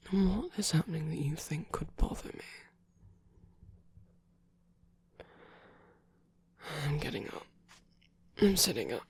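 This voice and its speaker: A performer voicing a gentle, slightly grumpy, possessive supernatural lover in an ASMR romance roleplay, speaking softly and tenderly with hesitant pauses and frequent whispering. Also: medium pitch, animated, slight background noise, close to an everyday voice. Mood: drowsy, reassuring. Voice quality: soft, breathy, often whispered. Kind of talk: ASMR romance roleplay, intimate one-sided dialogue.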